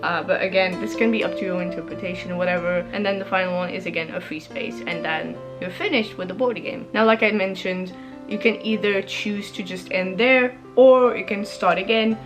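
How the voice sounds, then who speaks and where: A woman's voice: medium pitch, fairly quick, music in the background. A young woman, a native French speaker, casually explaining reading prompts, rambling and correcting herself, laughing often. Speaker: book vlogger.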